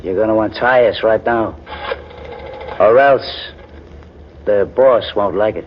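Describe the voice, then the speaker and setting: A man's voice: lowish voice, takes it slowly. An actor playing a menacing, slangy gangster henchman in a 1940s radio crime drama. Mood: sly, threatening. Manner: tough, ungrammatical mobster talk. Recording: noisy, muffled 1940s radio recording.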